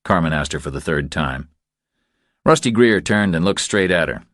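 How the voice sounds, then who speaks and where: A man's voice: low voice, lively intonation, moderate pace, fairly bright tone, no echo, clean studio sound. A man reading scripted thriller fiction as an audiobook narrator.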